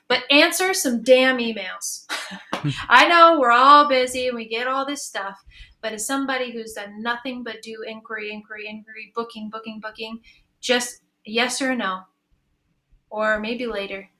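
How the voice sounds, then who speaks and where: Woman, high bright voice, casual panel talk, earnest, encouraging. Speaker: panelist, audio drama creator.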